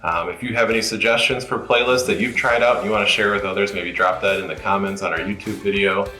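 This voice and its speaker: A man with a deep voice walks through software steps in an informal, unscripted way. His tone is bright and forceful for its low register. The recording has a noticeable room echo. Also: steady tone, quick pace, soft background music. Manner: informal, unscripted, explaining as he goes. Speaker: product tutorial presenter. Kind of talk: casual step-by-step app walkthrough.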